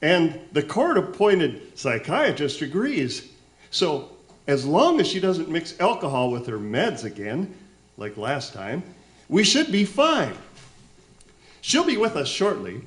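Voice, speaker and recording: An eager young nephew figure, played by a man, speaks in a lively, rather high voice. He is chirpy but nervous and halting, in the manner of radio comedy. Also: heavily acted. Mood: nervous, awkward. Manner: chirpy, halting, apologetic cover-ups. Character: nervous, eager, devoted nephew of a celebrity chef. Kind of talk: comedic radio play acting.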